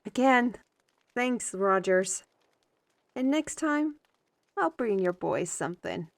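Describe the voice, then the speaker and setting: A woman playing a spirited, good-humored artist and adventurer in a fantasy audio drama, with a playful, expressive delivery. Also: medium pitch, slow, creaky, dry recording. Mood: grateful. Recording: music under the voice.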